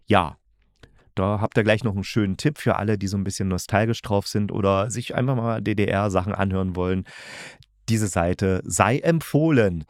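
A man's voice: lowish voice, dry recording, studio quality. A man hosting a casual review monologue, talking enthusiastically and in a rambling way as he searches for words. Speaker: podcast host.

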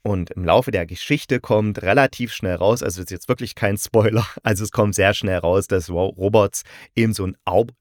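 Male podcast reviewer, lowish voice, chatty and explanatory, close dry sound. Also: normal speed, dry recording. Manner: conversational, explanatory, addressing listeners directly. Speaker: podcast host and radio drama critic.

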